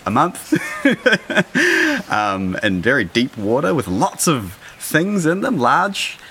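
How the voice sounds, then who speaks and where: A man's voice: on the high side, huge pitch swings, takes it slowly, sharp tone, slight background noise. A man, chatting in a casual outdoor interview with many fillers and frequent laughs. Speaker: interviewee, audio engineer. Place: outdoor deck.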